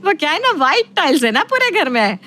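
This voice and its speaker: A woman's voice: high-pitched, big pitch swings, fast, sharp tone, exaggerated voice. A woman playing a fussy, middle-aged mother-in-law in an audio story, obsessed with cleaning and prone to nagging. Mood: sugary, fussing. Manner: scolding at family, falsely polite to guests. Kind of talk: Hindi audio story acting.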